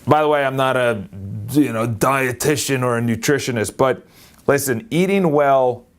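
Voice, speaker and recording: A man in his late twenties talking casually into his phone camera, energetic and rambling, often restarting his sentences. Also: mid-range voice, moderate pace, slight background noise. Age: 20s. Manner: energetic, rambling, self-correcting. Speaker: vlogger.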